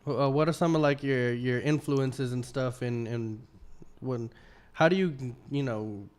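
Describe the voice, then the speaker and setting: A man hosting a casual studio interview, asking questions in a relaxed way with fillers and restarts. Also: medium pitch, measured pace, clean tone. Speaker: interview host.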